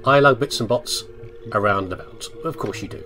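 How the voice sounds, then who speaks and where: A man's voice: fairly low pitch, brisk, loud music behind the voice. A man hosting a gaming video with casual, jokey commentary, often talking to himself. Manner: jokey, chatty, talks to himself. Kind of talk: casual let's play commentary.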